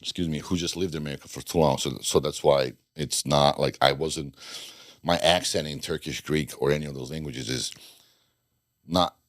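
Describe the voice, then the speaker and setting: Middle-aged man from Bosnia, very low voice, loose rambling interview talk, dry studio sound. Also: steady tone, moderate pace, dry recording. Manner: rambling, restarts sentences, dry self-deprecating jokes. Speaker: podcast guest, former undercover FBI agent. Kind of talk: casual interview conversation.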